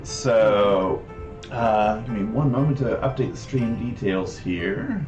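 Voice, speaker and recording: A man hosting a casual livestream, talking in a rambling, halting way. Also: on the low side, animated, highs cut off. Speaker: livestream host. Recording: roomy echo, distant mic, background music.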